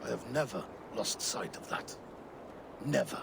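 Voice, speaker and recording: Scheming Viking jarl, grand and theatrical, gravelly, lively pitch. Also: male voice, a little slow, background noise. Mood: brooding. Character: proud scheming Viking jarl, middle-aged man.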